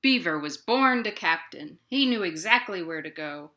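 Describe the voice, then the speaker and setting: A woman's voice: lowish voice, normal speed. An American woman hosting a storytime for young children, reading and chatting expressively and speaking straight to the kids. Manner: expressive, addressing children directly with questions. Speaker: children's librarian and storytime host. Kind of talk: animated picture-book read-aloud and chat for young children. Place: indoors at her home.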